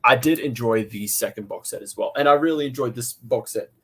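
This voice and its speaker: A man's voice: mid-range voice, brisk, slightly rough. A man with an Australian accent co-hosting a podcast, chatting eagerly about an audio drama box set with frequent restarts. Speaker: podcast co-host. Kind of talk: casual review chat.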